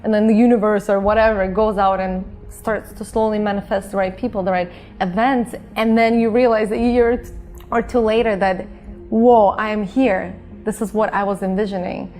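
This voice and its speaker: A native Russian-speaking woman from Azerbaijan speaks as an interview guest in a casual, earnest storytelling manner. Her pitch sits in the middle for a woman, and soft music plays behind her informal, expressive talk. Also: moderate pace. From Azerbaijan, former Soviet Union. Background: background music.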